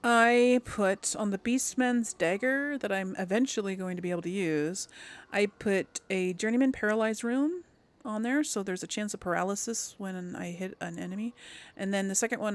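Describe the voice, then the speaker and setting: A woman hosting a video game playthrough, commenting casually and thinking aloud as she goes. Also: mid-range voice. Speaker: gaming video host.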